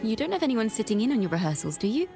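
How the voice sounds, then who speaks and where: An actress playing an encouraging, poised female bard in a game, guiding others with gentle, lyrical phrasing. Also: fairly high pitch, big pitch swings, very fast, gravelly. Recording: music behind the voice.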